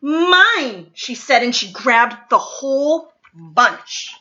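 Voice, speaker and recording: A woman with a bright, fairly high voice reads a children's story aloud. She takes her time and stresses key words dramatically. The recording is a little dull and lo-fi. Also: sing-song, extremely slow. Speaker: storybook reader. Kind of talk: expressive children's picture book read-aloud.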